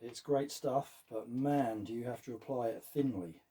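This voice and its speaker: A man, losing his voice, casually narrating his workshop restoration work in a soft, trailing way. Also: medium pitch, normal speed, slightly rough. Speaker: workshop host. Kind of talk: casual narration while working.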